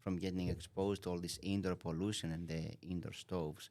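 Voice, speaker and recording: A man who grew up in Greece, a lung doctor, calmly explaining conditions in a methodical way with lists of examples. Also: low-pitched, fast talker. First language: Greek. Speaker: pulmonary physician guest. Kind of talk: explanatory podcast conversation.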